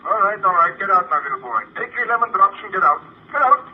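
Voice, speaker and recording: An actor playing a brusque, penny-stealing candy shopkeeper who waves a customer off impatiently. It is heard on a tinny, lo-fi 1950 radio broadcast. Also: male voice, sits high, sing-song, fast, noisy background.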